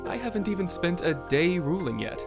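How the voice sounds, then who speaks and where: A man's voice: sits high, sing-song, fairly quick, vocal fry, heavily acted. Wry young crown prince acted by a man, music behind. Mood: wry teasing. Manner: wry, bantering. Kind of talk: audio drama character acting.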